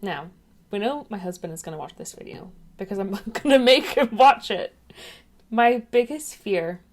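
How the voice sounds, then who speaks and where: A woman's voice: mid-range voice, animated, moderate pace. Young woman, chatty webcam vlogger who rambles off topic. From England, raised in Ireland. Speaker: YouTuber. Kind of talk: casual chatty vlog.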